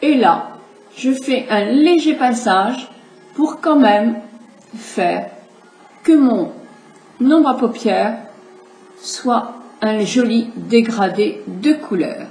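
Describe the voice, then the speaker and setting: High-voiced woman with a cold, chatty. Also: animated, drawn-out words, long pauses, a little mellow. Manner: chatty, explanatory, addresses viewers directly. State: has a cold. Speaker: makeup tutorial host. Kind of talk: casual makeup demonstration. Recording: echoey room with faint background hiss.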